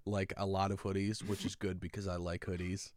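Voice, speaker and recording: A man's voice: sits low, no room sound, clean studio sound. A young man hosting a podcast in easygoing casual chat, laughing often and using lots of fillers. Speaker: podcast host and editor.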